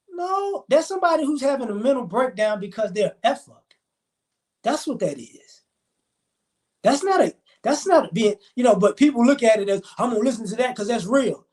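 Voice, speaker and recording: A man's voice: high-pitched, darkish tone. A man in his forties hosting a live stream, talking in a rambling, emphatic, slangy way. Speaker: livestream host. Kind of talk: casual live-stream commentary.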